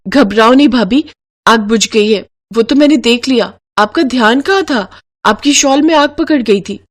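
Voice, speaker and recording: A woman's voice: on the high side, moderate pace, lightly acted. A woman voicing a sweet, caring young bride who speaks earnestly and respectfully, eager to please. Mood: alarmed, caring.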